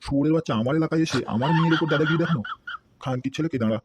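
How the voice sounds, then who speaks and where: Bullying middle-aged cartoon politician played by a man, West Bengal accent, mid-pitched, very rapid bluster, music behind. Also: very noisy, close to an everyday voice. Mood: outraged. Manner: crude, domineering, blustery. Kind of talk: comedy cartoon dialogue.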